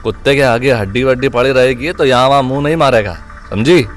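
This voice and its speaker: An actor playing a cocky, scheming young student leader, blunt and slangy, in an audio drama. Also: male voice, mid-range voice, fairly quick, close to an everyday voice. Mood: menacing. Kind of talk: Hindi audio drama acting. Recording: music bed behind the voice.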